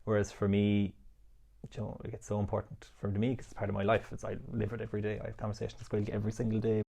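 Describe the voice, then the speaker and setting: A young Irish man with a low, gravelly, dark voice. He answers interview questions casually and with animation, full of fillers. Now and then he drifts a little off the mic. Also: monotone, fast talker. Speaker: student welfare officer.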